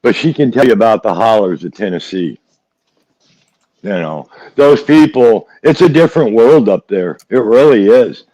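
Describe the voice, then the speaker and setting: A man's voice: lowish voice, expressive, normal speed, dark tone, low-quality recording. A man from West Virginia co-hosting a live true-crime chat, folksy and repeating himself for emphasis. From West Virginia, United States. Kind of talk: casual opinionated chat.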